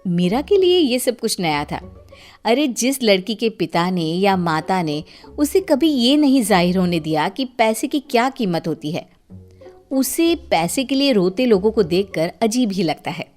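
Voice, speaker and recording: A woman narrating a dramatised story in an expressive, conversational way, as a storyteller. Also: medium pitch, a little slow, soft background music.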